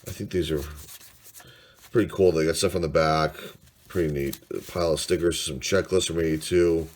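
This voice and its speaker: A man's voice: rumbling low, fairly even intonation, moderate pace, a little mellow. A man in his forties with a congested, stuffy voice, rambling casually through old finds and thinking aloud with lots of fillers. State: congested from a sinus cold. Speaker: sports card collector. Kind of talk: casual show-and-tell vlog.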